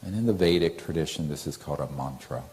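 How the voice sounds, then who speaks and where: Male meditation teacher: very deep, soft-edged mellow voice, gentle and soothing instructional delivery. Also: quick pace.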